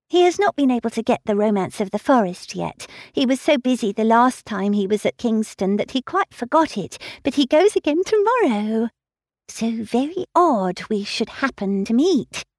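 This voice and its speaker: Woman doing a high, breathless young lady in an audiobook, lively swooping voice, dry close sound. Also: no echo. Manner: breathless and gushing. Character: eager, fluttery young woman, easily impressed.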